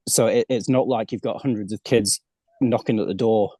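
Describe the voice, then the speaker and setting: A man's voice: on the low side, steady tone, moderate pace, warm tone, breathy edge, dry recording. A man from the UK, a golf coach living abroad, chatting as an interview guest and often restarting his sentences. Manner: chatty, many restarts and repeated words. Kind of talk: casual interview chat.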